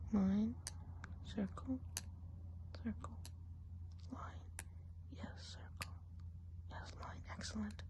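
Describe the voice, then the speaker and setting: A woman playing a chatty, gossipy woodland witch in an ASMR role play, speaking in a soft, breathy whisper and rambling with fillers. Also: mid-range voice. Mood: coaxing. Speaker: eye examiner.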